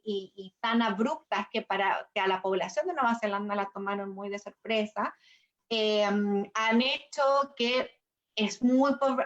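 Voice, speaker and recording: Chilean woman, kinda high voice, lots of ums and restarts, muffled call sound. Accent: Chilean Spanish. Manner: hesitant, many fillers and restarts. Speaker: embassy education representative. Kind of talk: casual video-call interview.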